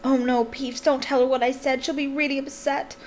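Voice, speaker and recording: A woman voicing an earnest, anxious, know-it-all schoolgirl in a storybook, brisk and eager to please. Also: sits high, big pitch swings, a little hiss or hum, pitched above the performer's own voice. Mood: frantic.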